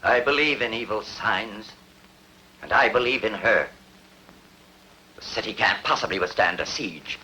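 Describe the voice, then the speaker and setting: A man's voice: on the low side, measured pace, pauses often, pushed and bright, a little hiss or hum, rough recording. A man acting a superstitious, commanding king in a dubbed historical epic, declamatory and imperious. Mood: grave.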